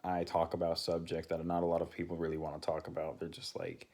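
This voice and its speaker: A young man with a deep voice, talking casually to his channel's viewers and rambling with many fillers and restarts. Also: monotone, fast talker, a little mellow. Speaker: channel co-host. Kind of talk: casual talk to camera.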